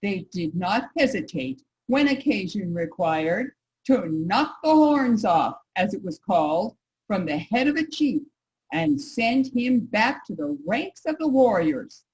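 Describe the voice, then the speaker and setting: Animated 19th-century suffragist orator played by a woman. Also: mid-range voice, a little slow, low-quality recording. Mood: assured, declarative. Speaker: reader's theater performer. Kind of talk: dramatic staged reading.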